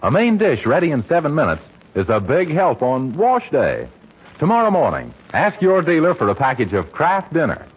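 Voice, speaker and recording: A man's voice: on the high side, huge pitch swings, moderate pace. Male announcer, 1940s radio ad, emphatic, wide-swinging intonation, dull old broadcast sound. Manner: polished, emphatic salesmanship. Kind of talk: 1940s scripted radio advertising. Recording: muffled, noisy old radio recording.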